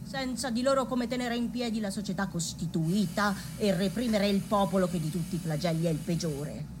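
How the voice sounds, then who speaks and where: A woman's voice: mid-range voice, brisk, natural voice. A woman playing a haughty, pompous establishment announcer, oratorical and mock-ceremonious in satirical radio theatre. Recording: clearly noisy ambience.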